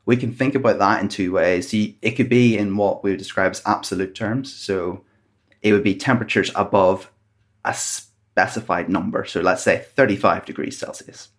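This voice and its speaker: A man's voice: lowish voice, normal speed. A man with a Northern Irish accent, a climate researcher patiently explaining his work step by step in an interview. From Northern Ireland.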